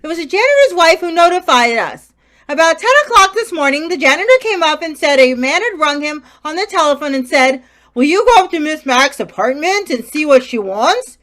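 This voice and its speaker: A woman playing an earnest police inspector, brisk and emphatic, in an old-time radio crime drama. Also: high voice, expressive, a bit bright. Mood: earnest, determined. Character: earnest police inspector and pleading robbery victim.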